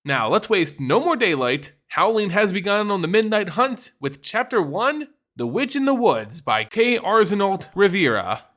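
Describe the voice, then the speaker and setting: A man's voice: high-pitched, sing-song, normal speed, fairly bright tone. A man hosting and narrating fantasy lore in a theatrical, dramatic reading style, as a storyteller. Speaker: channel host and narrator. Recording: music behind the voice. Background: music.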